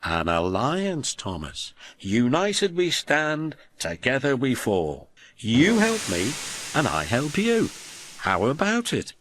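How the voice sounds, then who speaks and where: A man doing a big boastful engine, booming. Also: huge pitch swings, takes it slowly, a bit bright, heavily acted. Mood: rueful. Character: big proud male express engine, boastful.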